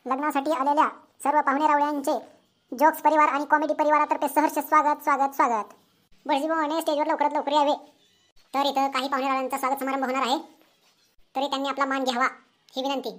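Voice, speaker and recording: Woman voicing high, fast-talking excitable cartoon villager. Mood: announcing. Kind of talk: comedy cartoon dialogue.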